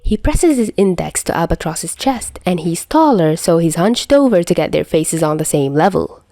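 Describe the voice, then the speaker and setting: A woman's voice: lowish voice, expressive, normal speed, warm tone, no room sound, clean studio sound. A woman reading a scripted story as an amateur audiobook narrator. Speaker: amateur fan fiction narrator.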